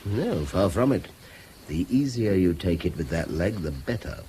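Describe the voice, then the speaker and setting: Polite english chap, bit hesitant, lowish voice that moves around a lot, radio play, faint ambience. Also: male voice, sing-song, normal speed, mellow, a little hiss or hum. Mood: relaxed, genial. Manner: courteous, slightly hesitant. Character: polite, mild-mannered middle-class Englishman, former soldier. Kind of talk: British radio drama acting.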